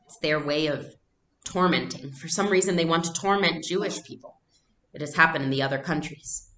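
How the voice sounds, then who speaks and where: A woman's voice: sits low, normal speed, fairly bright tone, echoey room. Woman reading as a young man, family friend. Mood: grave. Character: warm, grave young man visiting secretly, family friend.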